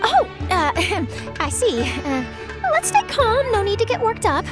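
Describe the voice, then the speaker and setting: A bubbly, high-voiced young reporter. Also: female voice, very animated, slow, exaggerated voice. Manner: bright, inquisitive questioning. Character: eager young female news reporter, bubbly and inquisitive. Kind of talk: game cutscene voice acting. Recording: game soundtrack music behind.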